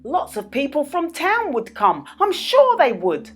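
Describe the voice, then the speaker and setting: A woman reading a children's story, voicing an excitable, friendly young girl in an expressive, exclaiming way. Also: high voice, measured pace, noisy background, pitched above the performer's own voice, exaggerated voice. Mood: bright, friendly. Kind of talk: children's storybook read-aloud.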